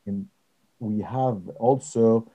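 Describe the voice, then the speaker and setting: Dark, husky man with Middle Eastern accent. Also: fairly low pitch, steady tone, rough recording. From Nazareth, Israel. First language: not English. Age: middle-aged or older. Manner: earnest, halting, non-native phrasing with repeated words. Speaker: interview guest, director of a peace organization. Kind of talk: conversational interview answers.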